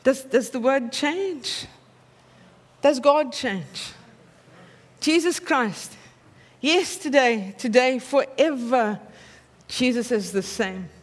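A woman's voice: high voice, expressive, slow pace, pauses often, big-room sound. A woman with an Afrikaans accent preaching a sermon in a conversational way, often checking in with her listeners through tag questions.

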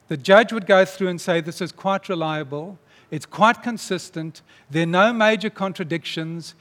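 A high-pitched male pastor delivers an earnest, emphatic sermon. His voice carries a long reverb, the sound of a big church hall. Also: a bit bright.

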